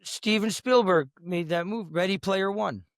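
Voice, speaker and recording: A rambling podcast co-host, a man whose voice sits a bit high for a man. He keeps restarting his sentences and circling back on his words. The room sound is dry and close. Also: unhurried, fairly bright tone, dry recording. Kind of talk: casual chat.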